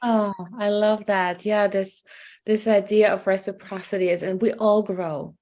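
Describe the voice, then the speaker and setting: A woman guest speaking very slowly and gently, stopping often to search for words. Her voice sits at an ordinary middle pitch. She sounds relaxed and unhurried in a casual conversation. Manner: gentle, hesitant, many ums. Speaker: guest, spiritual session practitioner.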